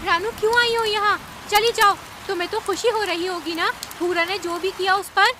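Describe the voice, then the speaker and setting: A woman's voice: very high-pitched, fairly even intonation, moderate pace, bright, forceful tone, a little hiss or hum. A woman voicing emphatic, dramatic female animal characters in a Hindi cartoon, a stern ant queen among them. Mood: bitter, hurt.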